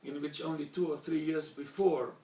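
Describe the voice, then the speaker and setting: Older man from Slovakia, German his first language, deliberate formal speaker, slightly high voice, recorded from afar in an echoey hall. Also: steady tone, warm tone, recorded from a distance. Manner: measured, deliberate, with frequent pauses and restarts. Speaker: speaker recounting childhood memories. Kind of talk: formal talk.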